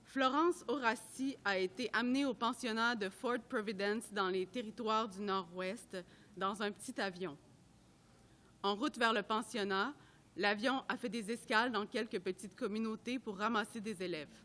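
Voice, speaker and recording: A woman reading a report aloud at a public event, in a measured, careful way that sometimes trips over names. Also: mid-range voice, a bit bright. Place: art gallery at a live event.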